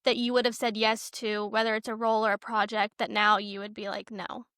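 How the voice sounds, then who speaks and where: A woman's voice: medium pitch, level tone, fairly quick, fairly bright tone, clear, resonant tone, no echo, clean studio sound. A woman co-hosting a podcast interview in a casual, informal way, often dropping in "like". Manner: informal, peppers talk with "like". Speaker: podcast co-host.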